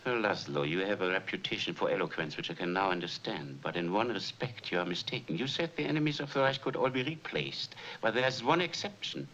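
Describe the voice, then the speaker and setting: Suave threatening nazi officer, deep, measured and formal, hissy 40s movie audio. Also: male voice, animated, fairly bright tone. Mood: polite menace. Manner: formal, measured, mock-courteous. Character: suave menacing Nazi officer in a wartime drama. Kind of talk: 1940s film acting. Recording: hissy dull 1940s film soundtrack.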